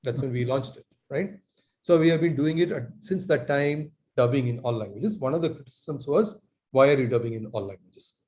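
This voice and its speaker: A man from India giving an earnest online talk as lecturer, pausing often with fillers. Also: mid-range voice, dark tone. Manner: earnest, many filler pauses.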